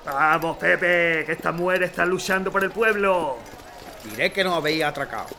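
An actor playing a gruff, grumbling working man in a Spanish period radio drama. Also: fairly high pitch, normal speed, pushed and bright, slight background noise.

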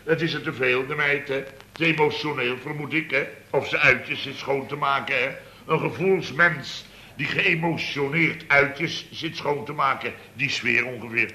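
A man's voice: mid-range voice, moderate pace, sharp tone. A man playing a pompous, blustering middle-aged boss in a Dutch radio comedy serial, stammering and repeating himself. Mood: exasperated, emphatic. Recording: slightly noisy vintage radio recording.

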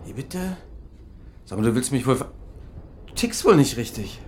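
An actor playing a sceptical, short-tempered investigator in a radio drama, questioning in clipped, pressing phrases. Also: male voice, mid-range voice, sing-song, moderate pace, slight background noise, close to an everyday voice. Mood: angry.

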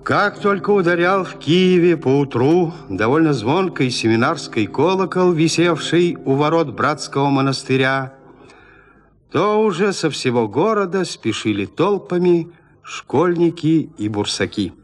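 A man with a fairly high voice narrates classic literature. His delivery is theatrical and very animated, rising and falling freely as he tells the tale. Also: unhurried, long reverb tail, soft background music. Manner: expressive storytelling. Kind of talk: dramatic literary audiobook narration.

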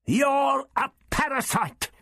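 A man voicing a grave, protective old doctor with emphatic, halting exclamations in a science fiction audiobook. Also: high voice, expressive, fairly bright tone, dry recording, clean studio sound, voice pushed up, heavily acted. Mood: excited realization.

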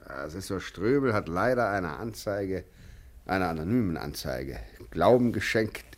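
An actor playing an authoritative police president in a German radio play, speaking in a measured, condescending official manner. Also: male voice, low-pitched, expressive. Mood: stern, official.

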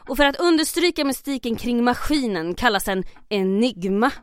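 A woman's voice: a little high, animated, clean tone, no room sound, studio quality, lightly acted. An actress playing a determined, businesslike woman on a mission in a comedic audio drama, polite but insistent and wry.

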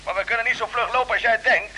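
An actor playing a confident male space captain in an old radio drama, brisk and reassuring under pressure. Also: very high voice, steady tone, sharp tone, slight background noise, heavily acted. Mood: urgent, determined.

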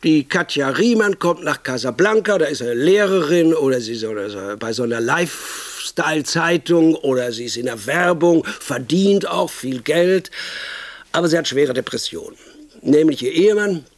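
An actor playing a pompous, crude and impatient film producer in a radio comedy, pitching movie ideas in a bossy, rambling, self-enthused way. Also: male voice, animated, moderate pace, no echo, close to an everyday voice. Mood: scheming, self-assured. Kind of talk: radio comedy monologue, improvised-sounding pitch.

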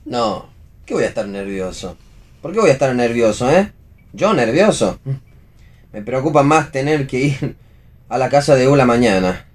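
A man's voice: medium pitch, big pitch swings, moderate pace, long pauses, close to an everyday voice. Nervous, defensive young Argentine boyfriend played by a man. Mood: defensive nerves. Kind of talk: read theatre for radio.

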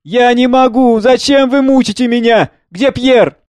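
A man's voice: very high voice, a little slow, darkish tone, no room sound, pitched above the performer's own voice. A man voicing an anguished, bewildered mother in a stage drama, pleading and repeating herself frantically. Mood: terrified, pleading. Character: anguished grieving mother, losing her grip on reality, pleading.

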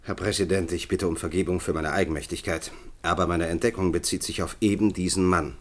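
An actor in a German radio play playing an officious, self-important chief programmer, commanding and formal. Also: male voice, deep voice, steady tone, a little fast, lightly acted. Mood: formal, triumphant. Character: officious computer-centre chief, self-important, excitable man.

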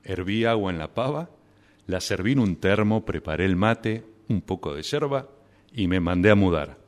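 A man's voice: low-pitched, animated, moderate pace. An actor with an Argentine accent playing an anguished, obsessive, melodramatic man in a radio drama, stammering at times. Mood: rueful storytelling. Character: anguished, obsessive man deprived of his beloved mate. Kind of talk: radio drama acting with first-person narration.

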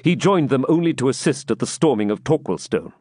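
A man's voice: mid-range voice, animated, fairly quick, a little mellow, dry recording, clean studio sound, voice pushed up, natural voice. A man voicing a grim, battle-worn medieval knight with solemn, emphatic archaic speech. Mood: insistent.

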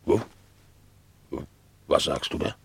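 A man's voice: slow pace, fairly bright tone, dry recording. A man playing a loyal, sensitive pet dog in a German radio play, speaking in an earnest, plain way. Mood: puzzled, hushed.

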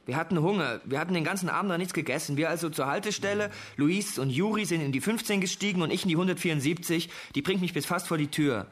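An actor playing a teenage schoolboy suspect in a German radio crime drama, explaining himself in a hesitant, defensive, uneasy way. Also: male voice, on the high side, fast talker, hardly any pauses, natural voice. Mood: uneasy, insistent. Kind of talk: German radio play acting.

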